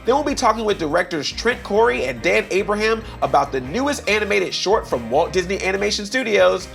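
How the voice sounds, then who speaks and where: A man co-hosting a talk show, speaking as an enthusiastic, excitable fan. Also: high-pitched, a little fast, gravelly, soft background music. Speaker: co-host.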